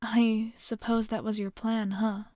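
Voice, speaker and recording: A woman playing a gentle, curious, lonely alien space nomad in an ASMR roleplay, soft-spoken and hesitant, with a reassuring manner. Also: fairly high pitch, level tone, unhurried, no room sound, clean studio sound, lightly acted. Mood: gentle, thoughtful.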